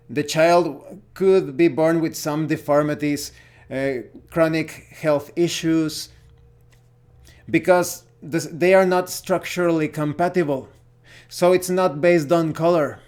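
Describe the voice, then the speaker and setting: Man, somewhat high-pitched, opinionated hobby explainer, deliberate delivery, pauses between short phrases. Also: darkish tone. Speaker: video host on tabletop role-playing games. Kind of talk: opinionated explainer talk to camera.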